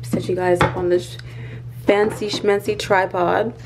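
A woman's voice: mid-range voice, level tone, a little slow. A young adult woman chatting casually to a vlog camera, thinking aloud informally as she tidies at home. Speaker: home vlogger. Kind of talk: casual vlog chat while tidying. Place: small indoor home rooms: closet, bedroom, bathroom. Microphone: handheld or tripod vlog camera. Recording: clear background noise with handling clicks.

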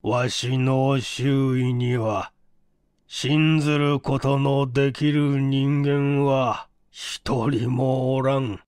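A man voicing a sickly, suspicious rich old man with a gravelly, slurred voice and a halting, nagging manner. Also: mid-range voice, level tone, darkish tone, no echo, clean studio sound. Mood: bitter, fearful. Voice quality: gravelly, slurred, thick-tongued. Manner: halting, labored, clinging.

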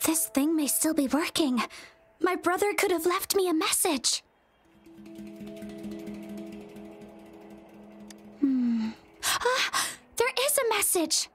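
A woman voicing an anxious, soft-spoken young woman in an anime video game, with a hesitant, fretful delivery. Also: extremely high pitch, lively intonation, unhurried, soft background music. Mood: sad, hesitant.

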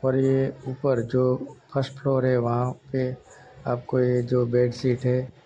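Male vlogger, mid-pitched and flat, hesitant casual narration on lo-fi audio. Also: slow. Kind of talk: casual shopping vlog narration. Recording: dull lo-fi audio with background noise.

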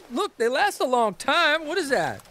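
Grumbling, animated newspaperman husband. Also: very high-pitched, measured pace, a little hiss or hum, heavily acted. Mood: defensive, exasperated. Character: grumbling, defensive 1930s newspaper columnist husband. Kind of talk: naturalistic radio drama dialogue.

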